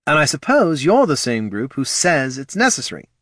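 A challenging fantasy hero , voiced by a male narrator, who presses his point insistently. His voice is lifted above the narration with lively inflection, recorded dry for an audiobook. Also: on the high side, moderate pace, no room sound, pitched above the performer's own voice. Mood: accusing.

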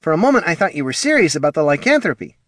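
A man's voice: a little high, big pitch swings, rapid-fire, fairly bright tone, no echo, studio quality, voice pushed up. A man voicing a fussy, anxious, proper little businessman in a fantasy audiobook, prim and wordy. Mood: flustered.

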